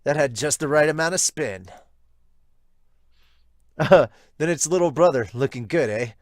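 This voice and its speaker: Higher-voiced man reacting casually. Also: animated, a little slow, dry recording, studio quality. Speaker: manga reviewer and podcast host. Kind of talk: reading manga aloud with casual reaction commentary.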